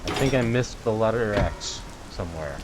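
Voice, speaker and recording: A man's voice: fairly low pitch, animated, moderate pace. A man giving casual, wry, understated commentary as he plays a video game. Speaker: gamer, let's play host. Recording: loud game noise behind.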